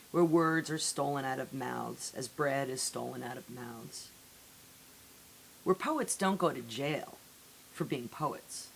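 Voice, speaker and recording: Slow-paced woman reciting poetry over faint hiss. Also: a bass voice, vocal fry, poor audio. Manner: deliberate, measured phrasing with dramatic pauses. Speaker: poetry reader. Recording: amateur recording with audible hiss.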